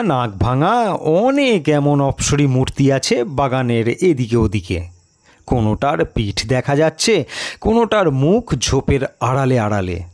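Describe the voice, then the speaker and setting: Male audiobook narrator, unhurried delivery, lively pitch movement, dramatic storytelling. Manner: measured, expressive storytelling with pauses. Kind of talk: dramatic audiobook narration of a first-person mystery tale.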